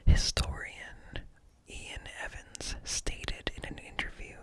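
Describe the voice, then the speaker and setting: A man reading informational text in a slow, hushed, breathy whisper for ASMR, close to the microphone. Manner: slow, deliberate, hushed, broken into short phrases. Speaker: ASMR host. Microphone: close ear-to-ear microphone.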